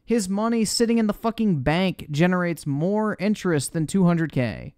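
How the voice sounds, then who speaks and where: Man, unhurried, crisp dry sound, blunt slangy swearing, reaction streamer. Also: high voice, expressive, a little mellow, no room sound. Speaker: livestreamer reacting to a video. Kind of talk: casual reaction commentary.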